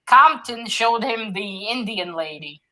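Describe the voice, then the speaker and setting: A woman's voice: medium pitch, expressive, a little slow, bright, forceful tone, rough recording. A woman talking casually and informally as she retells a story in a book discussion.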